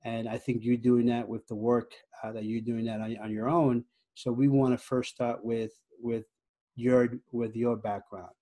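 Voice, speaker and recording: A man in his thirties hosting a podcast interview in a measured, polite manner. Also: mid-range voice, flat delivery, mellow, dry recording. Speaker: podcast host. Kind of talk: interview introduction and questions. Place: indoor room, on a video call.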